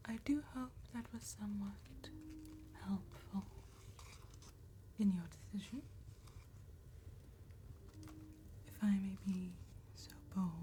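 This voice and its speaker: A woman playing an elegant, courteous vampire tattoo artist in a soft-spoken ASMR roleplay, with breathy, genteel, deliberate phrasing. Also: mid-range voice, slight background noise, natural voice. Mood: courteous, flattering. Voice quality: breathy, whispered.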